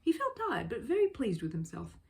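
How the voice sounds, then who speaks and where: Female storybook reader: expressive narration, medium pitch, very animated melody. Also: brisk, gravelly.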